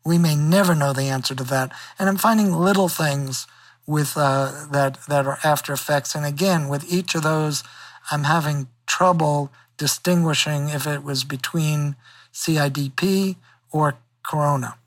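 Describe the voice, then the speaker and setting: A man's voice: a little high, steady tone, normal speed, no room sound, low-quality recording. Wispy-voiced man in his sixties. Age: 60s. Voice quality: wispy, weakened voice. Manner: upbeat, plain-spoken. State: recovering in hospital. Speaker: interview guest. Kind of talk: phone interview.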